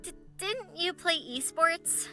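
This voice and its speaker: A woman's voice: very high-pitched, lively intonation, slow pace, sharp tone, faint music behind, exaggerated voice. A woman playing a sweet, eager, easily flustered young female developer in an anime-style dating game.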